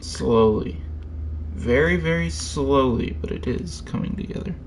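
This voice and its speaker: A man streams a game and thinks aloud in short, muttered fragments. His delivery is slow and unhurried, with a fry-laden, creaky edge. Faint noise and keyboard clatter sit behind his voice. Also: mid-range voice. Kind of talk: casual thinking-aloud gameplay commentary.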